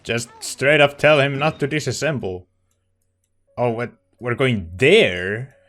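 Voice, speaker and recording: A man's voice: medium pitch, slow pace, fairly bright tone. A man giving casual, reactive commentary as he plays through a game. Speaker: let's play streamer. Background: game music.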